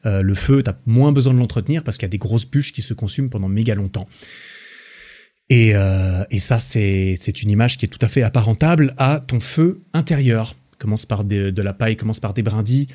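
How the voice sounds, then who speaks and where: Mellow-voiced young Frenchman rambling casually. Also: fairly low pitch, fairly quick. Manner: relaxed, rambling, many fillers and restarts, laughs at himself. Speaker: podcast host. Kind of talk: casual solo podcast monologue.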